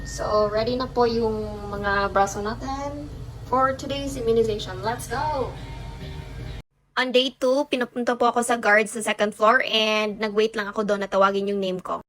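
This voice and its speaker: A woman's voice: on the high side, fairly even intonation, moderate pace, fairly bright tone, rough recording. A woman nurse with a Filipino accent, narrating a chatty, upbeat vlog with practical tips and switching languages mid-sentence. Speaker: nurse and vlogger. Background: background music.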